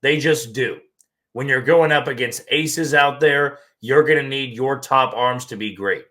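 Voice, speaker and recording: Hoarse man hosting a sports podcast. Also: mid-range voice, measured pace, pushed and bright. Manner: talks straight through with frank, plain opinions. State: hoarse, losing his voice. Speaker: podcast host.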